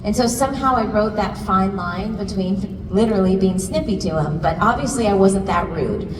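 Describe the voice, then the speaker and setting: A woman voice actor on a convention panel, telling a lively story with quick laughs. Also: medium pitch. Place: large convention hall. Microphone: handheld mic, recorded from far off in the audience. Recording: echoey hall recorded from far off, noisy.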